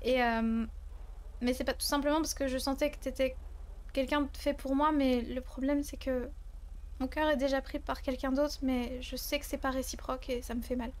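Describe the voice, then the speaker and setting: A woman's voice: sits high, steady tone, normal speed, a little mellow, lightly acted. A woman improvising as a candid, lovelorn young woman in a roleplay game, apologetic and often searching for words. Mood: sincere, pained. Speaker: roleplayer in an online game server. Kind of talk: improvised in-character conversation.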